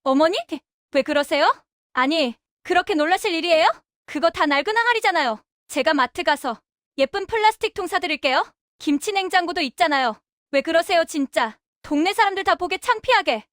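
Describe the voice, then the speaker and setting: Casting: female actor as oblivious, boastful daughter-in-law for family radio drama, extremely high voice, dry close sound. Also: lively intonation, a little fast, a bit bright, dry recording. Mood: dismissive, annoyed. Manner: breezy, boastful, dismissive. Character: brash, chirpy, self-satisfied daughter-in-law, oblivious and tactless. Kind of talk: Korean family audio drama acting.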